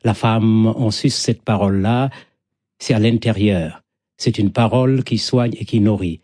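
A man's voice: fairly low pitch, unhurried, dark tone, no room sound, studio quality. A middle-aged man from Senegal with a Senegalese accent, a native Wolof speaker, talking reflectively as a storyteller being interviewed, in poetic, repetitive phrases.

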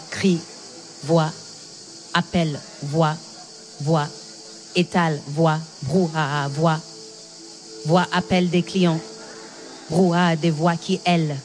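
A woman's voice: on the low side, darkish tone, slight background noise, low-quality recording. An actress in her thirties playing a frightened young woman lost from her mother, repeating her words in an incantatory way. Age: 30s. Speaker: lead actress. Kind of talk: stage drama.

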